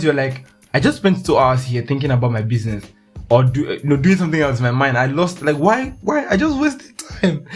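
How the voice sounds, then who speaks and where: Casting: young Nigerian man, mid-pitched voice, chatty solo podcast host, faint music underneath. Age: young adult. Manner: chatty, laughs often, restarts words.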